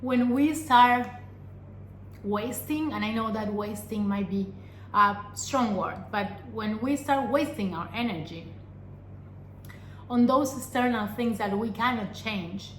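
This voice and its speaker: A young adult woman from Argentina, a vlogger giving an earnest personal talk to camera, pausing often and asking rhetorical questions. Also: on the high side, a little hiss or hum. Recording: echoey room with faint ambience.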